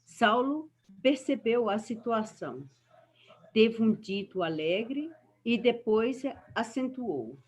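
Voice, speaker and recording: A woman's voice: a little high, animated, slow, darkish tone. A Brazilian woman from Espírito Santo reading a passage aloud to a study group, carefully and with some stumbles.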